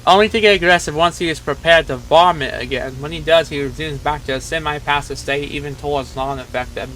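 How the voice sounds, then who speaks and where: A man with a fairly high, bright, forceful voice hosts a casual reaction commentary. He speaks informally, sometimes reading text aloud. There is faint noise behind him. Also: fairly quick, rough recording. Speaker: host reacting to a horror video.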